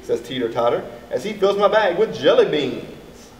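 A middle-aged man reading a children's storybook aloud in an expressive, deliberate manner. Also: on the high side. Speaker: elementary school staff member reading to children. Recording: echoey, distant mic with background noise.

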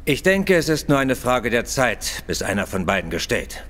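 A man's voice: mid-range voice, big pitch swings, moderate pace, a bit bright. An actor playing a self-assured male police inspector in a German audio drama, officious, curt and grudging. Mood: brusque, self-assured. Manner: officious, brusque.

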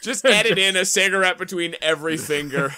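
A man's voice: high voice, brisk, sharp tone, clear, resonant tone. A man co-hosting a podcast, joking casually with his friends. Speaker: podcast co-host. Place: room at home, video call.

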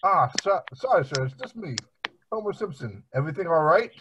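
A man's voice: fairly high pitch, expressive, fairly quick, background noise, natural voice. A man voicing a dim, amiable family man, bumbling and rambling, in an amateur cartoon table read. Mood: nervous. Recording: muffled, lo-fi video call.

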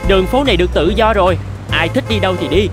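Cartoon traffic light, played by a man: downcast, self-pitying, plaintive, slightly high male voice over music. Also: animated, fast talker. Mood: fed up. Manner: plaintive, complaining. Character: gloomy, self-pitying talking traffic light longing for freedom. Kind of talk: animated fairy-tale dubbing. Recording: music under the voice.

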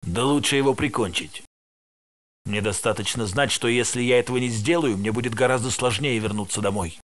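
An actor voicing a tired male security guard in a research facility in a video game dub, with a gravelly, matter-of-fact delivery. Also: mid-range voice, brisk, fairly bright tone, lightly acted. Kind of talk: game voice lines, Russian dub.

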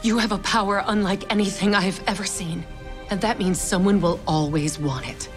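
A woman's voice: mid-range voice, moderate pace, natural voice. A woman playing a solemn, protective guardian creature in a film. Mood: grave, protective. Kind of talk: film acting. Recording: film clip with music behind.

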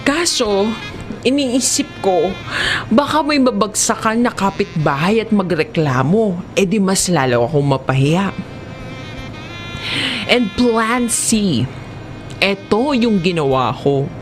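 A woman with a Filipino accent narrating a comedic first-person story in an expressive, giggly, conversational way. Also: mid-range voice, slow, long pauses, soft background music.

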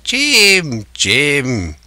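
A storybook castaway pirate, half crazed by solitude. The voice is high, bright and drawn out, swinging about as it rambles in rustic seafaring speech, sly, childlike and wheedling. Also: male voice, big pitch swings, slow, dry recording, exaggerated voice. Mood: startled, sly, pleased.

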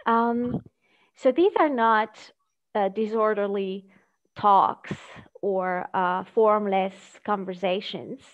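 A woman giving an academic presentation over a video call, speaking in a measured, hesitant way with halting phrasing. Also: mid-range voice. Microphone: video call microphone. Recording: dull, lo-fi video call audio.

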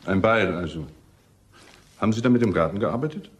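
An actor playing a relentless, sardonic police detective in a crime radio drama, deliberate and accusing with dry irony. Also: male voice, lowish voice, lively intonation, natural voice.

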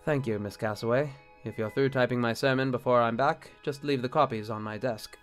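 An actor playing a proud, jealous middle-aged bishop in a radio-style drama, curt and indignant. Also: male voice, mid-range voice, steady tone, fairly quick, faint music behind, lightly acted. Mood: clipped, formal. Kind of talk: radio-play style acting.

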